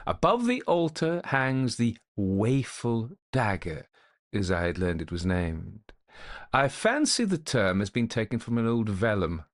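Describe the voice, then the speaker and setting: A man playing a gentlemanly, methodical investigator of hauntings, recounting his case in a formal, old-fashioned storytelling manner. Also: lowish voice. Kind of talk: dramatic first-person storytelling, old-fashioned ghost story.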